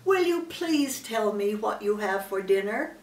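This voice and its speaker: A woman's voice: medium pitch, animated, unhurried, echoey room, recorded from a distance. An elderly woman voicing a polite, naive young male elephant child in an earnest storybook reading.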